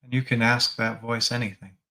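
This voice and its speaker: A man leading a guided spiritual meditation as a teacher, gently prompting the listener with reflective questions. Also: mid-range voice, flat delivery, brisk.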